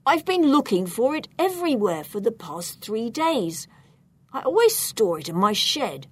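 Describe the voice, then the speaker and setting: A woman's voice: a little high, very animated, a little slow, husky, heavily acted. A woman voicing a flustered, innocent father under suspicion in a storybook, speaking in an earnest, hesitant way. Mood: earnest, uneasy.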